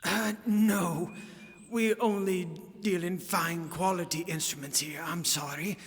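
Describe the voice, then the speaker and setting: A man's voice: sits high, fairly even intonation, takes it slowly, slightly rough, full character voice. A man playing a pompous, florid, easily outraged music shop clerk in a comic radio advertisement sketch. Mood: lofty, polite. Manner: pompous, florid, archaic phrasing.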